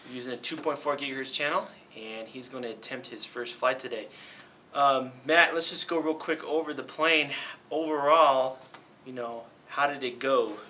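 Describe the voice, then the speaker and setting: A young man hosting a casual desk interview as a flying instructor, relaxed and encouraging, with lots of ums and uhs. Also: mid-range voice, normal speed, slight background noise. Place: small indoor studio set at a desk.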